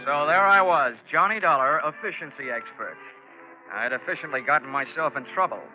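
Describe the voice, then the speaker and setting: Sardonic American detective hero played by a male actor: fairly high voice, dry wisecracks, dull vintage radio sound, music behind. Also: a little fast, natural voice. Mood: wry narration. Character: wry, wisecracking male private investigator hero. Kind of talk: 1940s radio acting and narration.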